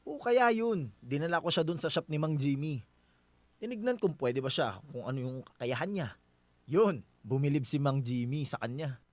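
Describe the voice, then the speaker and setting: A man with a Filipino accent playing a gentle, considerate husband in a radio drama, talking in a reassuring, conversational way. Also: a little high, expressive, normal speed, no echo, close to an everyday voice. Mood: light, upbeat.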